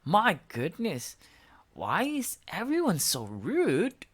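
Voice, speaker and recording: A woman's voice: lowish voice, huge pitch swings, slow, breathy edge, voice pushed up, exaggerated voice. Woman putting on a fussy, polite monster salesman. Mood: flustered. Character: polite, flustered male monster door-to-door salesman.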